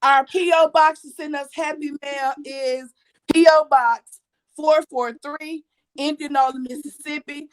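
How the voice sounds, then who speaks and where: Woman host, raspy and high-pitched, chatty and repetitive with her audience. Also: steady tone, unhurried, rough recording. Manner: chatty, repeats herself, reads viewer comments aloud. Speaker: livestream host. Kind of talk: casual livestream talk.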